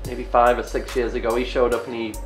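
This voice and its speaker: A man with an English accent softened by years in Canada, chatting casually as an interviewed DJ in a laid-back, rambling way. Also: mid-range voice, level tone, fast talker. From England. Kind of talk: casual chat. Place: indoors, studio interview. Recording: roomy echo, music behind. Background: music.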